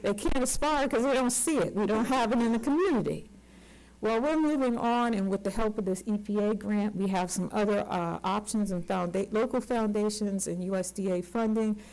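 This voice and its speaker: A woman in her sixties speaks at a conference panel in an unscripted, heartfelt way. She is emphatic and earnest, often repeating words to drive a point home. Her voice sits at an ordinary middle pitch for a woman. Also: moderate pace, a little mellow, poor audio. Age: 60s. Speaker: community organizer on a panel.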